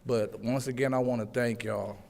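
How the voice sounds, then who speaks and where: A man giving public comment at a city council meeting, speaking loudly and earnestly, pleading with the council. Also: medium pitch, steady tone, normal speed, vocal fry. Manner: loud, earnest, pleading delivery. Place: echoey city council chambers. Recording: long hall reverb.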